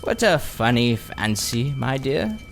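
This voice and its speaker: A man plays a scheming elderly magician in a children's storybook. He speaks with unctuous, lingering courtesy and false kindness. His pitch moves in lively swings, with faint music behind. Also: gravelly, heavily acted. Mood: coaxing. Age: older. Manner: unctuous, drawn-out, cunning politeness. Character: sly, oily old gentleman magician, falsely kind. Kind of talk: children's storybook character reading.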